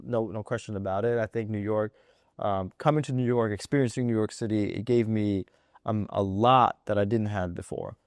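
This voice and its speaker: A man's voice: fairly low pitch, moderate pace, no echo. A man from the Chicago suburbs, a fashion designer guest in a studio interview, speaking earnestly with many restarts. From Chicago suburbs, USA. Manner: earnest, restarts a lot, says "yo" and "of course". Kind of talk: casual interview chat.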